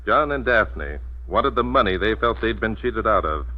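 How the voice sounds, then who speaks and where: An actor playing a shrewd, self-assured male amateur detective in an old radio mystery, measured and pointedly polite. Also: lowish voice, expressive, close to an everyday voice. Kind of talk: 1940s radio mystery acting. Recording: muffled old radio broadcast, clear hiss.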